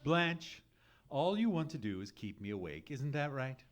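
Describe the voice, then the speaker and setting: An actor playing an exasperated, sleep-deprived, penny-pinching husband in a live radio comedy, blustery, sarcastic and indignant. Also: male voice, mid-range voice, sing-song, moderate pace, natural voice. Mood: weary irritation. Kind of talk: live old-time radio comedy acting before an audience. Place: live theatre stage.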